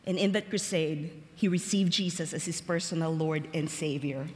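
A young woman from the Philippines, giving a personal testimony as a chapel speaker, chatty and slowing down for emphasis. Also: low-pitched. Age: young adult. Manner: conversational, laughs easily, slows for emphasis. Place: large chapel. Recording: long hall reverb.

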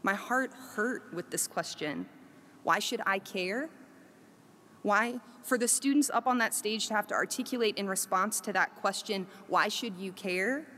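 A woman professor gives a prepared talk to listeners in an earnest, measured way, building her points through emphatic lists. Her voice sits at an ordinary middle pitch and carries a long reverb tail. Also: sharp tone. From Korea.

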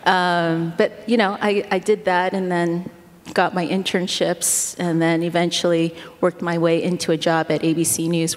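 A middle-aged woman born in the United States, whose first language is Korean, talking as a guest in an interview, rambling and self-deprecating with many fillers. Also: on the low side. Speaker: guest speaker. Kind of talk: casual interview talk. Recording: long hall reverb.

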